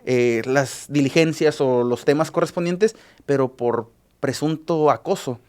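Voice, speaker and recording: A man with a Mexican Spanish accent, a journalist recapping news cases on a radio show, halting often with filler sounds and restarts. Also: mid-range voice, darkish tone.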